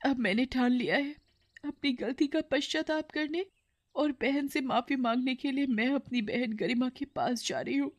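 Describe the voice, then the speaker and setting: A woman plays a jealous young girl from a cartoon moral tale. The voice is lifted high and delivered in emotional exclamations, with scheming intensity. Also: normal speed. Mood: tearful, remorseful. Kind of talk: dramatised cartoon moral story.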